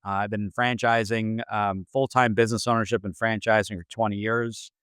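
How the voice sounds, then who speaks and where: A man in his forties from New Jersey speaks as a franchise consultant in a low, smooth voice. He hesitates often and restarts his phrases, and the sound is dry and close. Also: little pitch movement, normal speed, fairly bright tone, no echo. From Central New Jersey. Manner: hesitant, many fillers and restarts. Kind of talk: casual interview answers.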